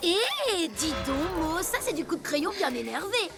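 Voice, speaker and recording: Spoiled snobby bug girl for a cartoon, super high voice, bossy n dramatic, music behind. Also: big pitch swings, gravelly, exaggerated voice. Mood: haughty. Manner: haughty, dramatic, drawn-out posh delivery. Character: snobbish bossy young female stink bug, mayor's spoiled daughter. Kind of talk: animated cartoon dub.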